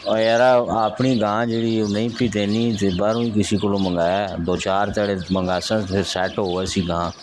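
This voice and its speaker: A man's voice: lowish voice, level tone, moderate pace, few pauses, noisy background, close to an everyday voice. A man playing an easygoing, practical villager in an amateur rural audio drama, talking matter-of-factly. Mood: easygoing, conversational.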